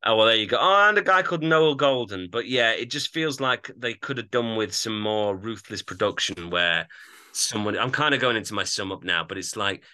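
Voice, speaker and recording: A man gives a casual album review as a podcast co-host. His voice is slightly low yet strikingly bright and cutting, with a clean, crisp tone. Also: expressive, moderate pace, no echo.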